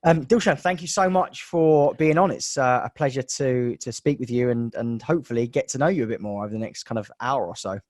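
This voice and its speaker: An English man hosts a podcast interview in a loose, joking way. He restarts his sentences often and fills the gaps with "um" and "you know". His voice sits at an ordinary middle pitch. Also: a little fast, few pauses, a little mellow, no echo, clean studio sound. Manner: chatty and joking, many fillers and restarts. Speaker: podcast host. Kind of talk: casual interview chat with a scripted intro.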